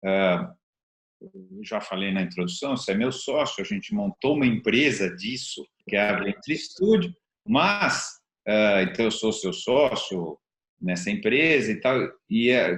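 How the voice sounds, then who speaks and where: A man's voice: medium pitch, very animated, moderate pace, a bit bright. A man with a Brazilian accent, hosting an interview with informal, meandering questions. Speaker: interview host. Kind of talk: conversational interviewing. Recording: roomy, echoey sound.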